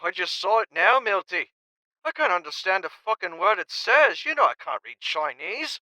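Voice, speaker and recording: An actor playing a brash, foul-mouthed champion fighter in an audio drama, loud, cocky and blunt. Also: male voice, high voice, very animated, moderate pace, no echo, full character voice, rough recording. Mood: amused, brash.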